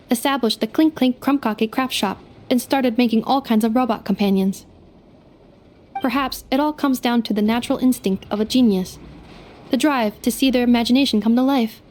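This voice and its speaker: A woman voicing a gentle female robot companion in a video game, speaking in a measured, formal, slightly mechanical way. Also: a little high, slight background noise, close to an everyday voice. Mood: explaining.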